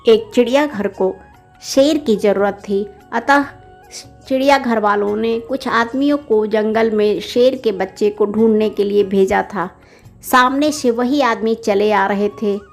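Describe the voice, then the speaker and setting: Slow-paced woman telling a bedtime story. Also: medium pitch, faint music behind. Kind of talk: children's bedtime story narration.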